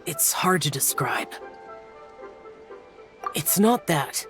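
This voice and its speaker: A man's voice: on the high side, expressive, very slow, fairly bright tone, soft background music, heavily acted. A man voicing a reserved, solemn warrior immortal, youthful yet ancient, in an anime game, speaking tersely and formally. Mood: guarded, reflective.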